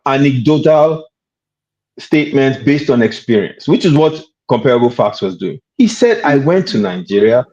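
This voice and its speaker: Man, heated panel debate, lively swinging pitch, interjecting, dull lo-fi sound. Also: moderate pace. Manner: argumentative, keeps interjecting to hold the floor. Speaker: panel guest.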